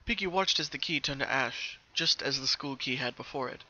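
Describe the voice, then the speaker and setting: A narrator giving an expressive, careful dramatic reading of a story, as in an audiobook recorded at home. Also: medium pitch, brisk, lo-fi sound.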